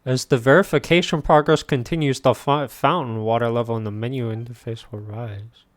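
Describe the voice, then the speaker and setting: A man's voice: medium pitch, fairly even intonation, brisk. A young man casually commentating while streaming a game, laid-back and thinking aloud. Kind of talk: casual let's-play commentary.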